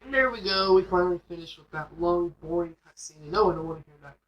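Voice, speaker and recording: A man's voice: sits high, fast, muffled recording. A man hosting a let's play, giving casual, rambling, informal commentary as he plays a video game. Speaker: gaming video host. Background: game music.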